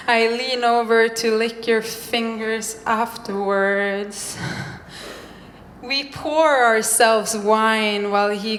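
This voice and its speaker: A young woman from Norway, performing spoken-word poetry on a mic at a live venue, with slow, deliberate pauses. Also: a little high, a bit bright, a little hiss or hum. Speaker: poet. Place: live event venue, indoors. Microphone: stage microphone. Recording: echoey room, faint ambience.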